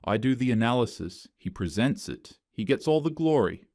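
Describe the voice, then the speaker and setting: A man's voice: mid-range voice, animated, moderate pace, a little mellow, no room sound, clean studio sound, pitched above the performer's own voice, lightly acted. A man reading the lines of an upset young female office employee, hesitant and aggrieved.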